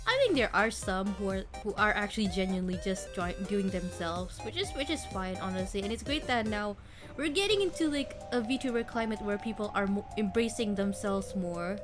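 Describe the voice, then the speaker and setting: A young woman chatting casually on a stream, giggly and animated. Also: medium pitch, a little fast, music in the background. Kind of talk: casual chat.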